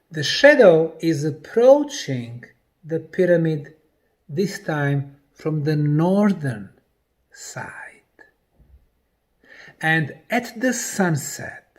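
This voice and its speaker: A man delivering a scripted documentary presentation in deliberate, emphatic phrases broken by pauses. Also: a little high. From Bosnia.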